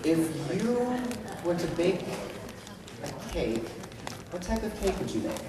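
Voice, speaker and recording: High-voiced man in a booming echoey hall. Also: big pitch swings, slow, background noise. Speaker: contestant questioning bachelors in a panel game. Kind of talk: playful live stage game questioning. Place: large convention hall. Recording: echoey hall from far, loud crowd. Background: loud audience.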